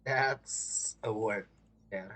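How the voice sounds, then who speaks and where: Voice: medium pitch, extremely slow, fairly bright tone, breathy edge. A game streamer giving casual, informal live reaction commentary.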